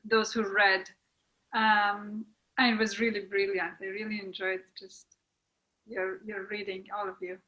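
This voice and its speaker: A woman giving measured, appreciative feedback in a group discussion over a video call. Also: medium pitch, flat delivery, highs cut off. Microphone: computer video call.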